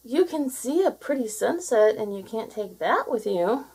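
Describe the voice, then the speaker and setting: A woman's voice: fairly high pitch, animated, unhurried, darkish tone, breathy edge, voice pushed up, full character voice, low-quality recording. A woman voicing a small, earnest and clever male seal in a storybook, pleading and exclaiming.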